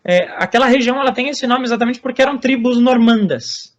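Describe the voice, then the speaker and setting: Brazilian man, high voice, hesitant measured guest explainer. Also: lo-fi sound. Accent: Brazilian Portuguese. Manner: measured, many hesitations and restarts. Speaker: author and guest on Norse religion. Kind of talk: explanatory interview talk.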